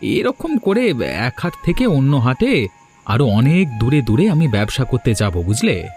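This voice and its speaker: Outspoken village vendor played by a man, lively intonation, earnest and determined, moral-story cartoon dub. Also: mid-range voice, unhurried, faint music behind. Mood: eager. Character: hard-up, determined, outspoken village snack seller.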